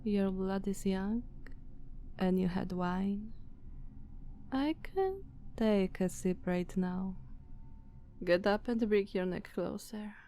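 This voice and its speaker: A woman's voice: medium pitch, slow, long pauses, warm tone, a little hiss or hum. A woman with a Slavic accent playing a haughty, dominant, ancient female vampire in an ASMR roleplay, condescending and commanding, sometimes slipping toward a whisper. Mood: teasing, seductive.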